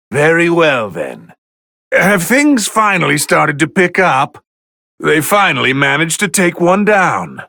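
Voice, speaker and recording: Snooty bored male god calling game fights, slow condescending drawl, really swoopy delivery, super dry close recording. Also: fairly high pitch, gravelly, pushed and bright, no room sound, exaggerated voice. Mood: curious, announcing. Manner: condescending, drawling, theatrically refined. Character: haughty, easily bored male god of destruction. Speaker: fight commentator. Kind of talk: English video game voice lines, match commentary.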